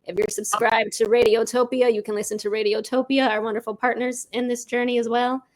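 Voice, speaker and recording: A woman's voice: a little high, level tone, a little fast, low-quality recording. A woman, a guest on a show, giving casual promotional talk. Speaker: audio drama creator, show guest.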